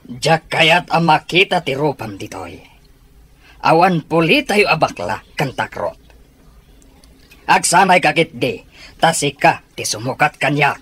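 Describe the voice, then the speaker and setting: An actor playing a stern, proud family patriarch with a husky voice in an Ilocano Filipino radio drama. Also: male voice, a little high, animated, unhurried, pauses often, fairly bright tone, full character voice. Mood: angry, disowning.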